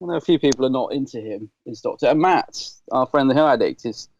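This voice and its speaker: Slightly croaky British man, dull dry recording. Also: medium pitch, quick pace, dry recording. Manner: chatty, enthusiastic. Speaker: podcast host reviewing Doctor Who. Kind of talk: casual chat.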